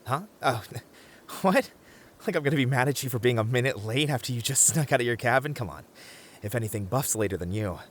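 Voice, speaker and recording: A man's voice: medium pitch, animated, fairly quick, slight background noise, close to an everyday voice. A careful, friendly young male camper , played by a man in an audio roleplay. His medium voice moves up and down a lot. He argues sensibly with a wry edge and gets exasperated easily. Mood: friendly, hushed. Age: young adult. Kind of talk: ASMR roleplay audio drama acting.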